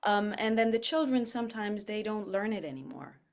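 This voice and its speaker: Swiss-raised woman, farsi first language, chatty and laughing as a guest, mid pitch. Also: normal speed. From Switzerland. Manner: animated, laughing, self-deprecating asides. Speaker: actress, interview guest. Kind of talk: casual interview chat.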